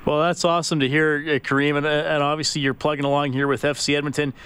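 A man's voice: a little high, level tone, fast talker, a bit bright, clear, resonant tone. A man hosting a sports radio interview, often repeating words as he asks questions. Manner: stammers and repeats words while asking questions.

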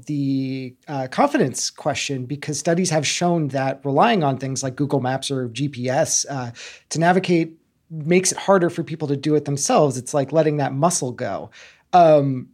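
A man's voice: a little high, darkish tone. A man interviewing a guest, asking curious, probing questions with casual fillers.

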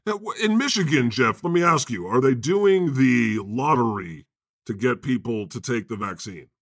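A man's voice: medium pitch, expressive, moderate pace, dry recording. Irreverent man bantering in a dry-sounding recording. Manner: irreverent, sweary. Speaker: podcast co-host. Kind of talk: casual comedic banter about news.

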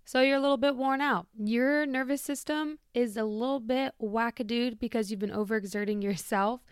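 A young woman chats through her podcast in a bubbly, easygoing way. Her voice is smooth and clear, though a little lower and shakier than usual after a busy event. The sound is dry and close, with no room around it. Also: a little fast, no echo. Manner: bubbly, chatty, with light laughs. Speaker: podcast host. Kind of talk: casual podcast monologue.

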